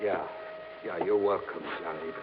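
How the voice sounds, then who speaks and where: An actor playing an anxious, loyal ex-crook sidekick in a 1950s radio drama, urgent and hesitant. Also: male voice, low-pitched, steady tone, normal speed, natural voice. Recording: echoey, noisy, dull old radio recording.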